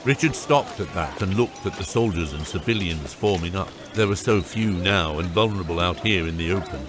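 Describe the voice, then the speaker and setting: A man's voice: low voice, a little fast, music in the background. A man narrating a fantasy audiobook from a script as its storyteller.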